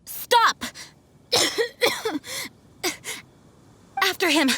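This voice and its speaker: A woman's voice: extremely high pitch, expressive, extremely slow, sharp tone, exaggerated voice. A woman playing an earnest, dutiful young knight commander in a video game, speaking formally and sincerely. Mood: determined, strained.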